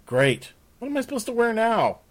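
A man's voice: mid-range voice, very animated, moderate pace, voice pushed up. A man playing a blunt, headstrong woman of rank who talks informally and demandingly in a fantasy story. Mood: exasperated. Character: blunt, headstrong young noblewoman, easily exasperated. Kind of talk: fantasy audiobook character.

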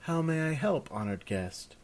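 A man's voice: on the high side, animated, unhurried, pitched above the performer's own voice. A man voicing an eager, deferential young servant girl with polite, old-fashioned speech in a fantasy story. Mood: helpful. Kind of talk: fantasy audiobook character.

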